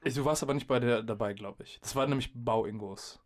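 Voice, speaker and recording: A young adult man chatting casually as a podcast host and DJ, telling stories in a slangy, animated way and acting out what people said. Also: mid-range voice, fast talker, a little hiss or hum. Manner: slangy, acts out quoted remarks. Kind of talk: casual chat, animated storytelling.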